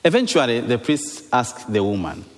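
A male priest from Tanzania preaching a sermon in short phrases, pausing between them for an interpreter. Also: mid-range voice, sing-song. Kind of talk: sermon delivered in English with consecutive interpretation. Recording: reverberant hall.

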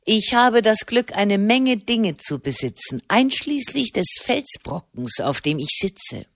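A woman's voice: mid-range voice, lively intonation, slow, no room sound, clean studio sound, voice pushed up. A woman voicing a large, aging nobleman who stays cheerful and unruffled while he teases, in a storybook reading. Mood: cheerfully smug.

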